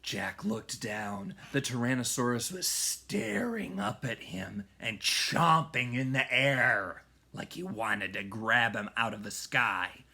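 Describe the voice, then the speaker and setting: Guy reading a kids book out loud, takes his time, super expressive and dramatic, goes hushed for suspense. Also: medium pitch, slow. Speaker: music teacher reading aloud. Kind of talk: children's storybook read-aloud.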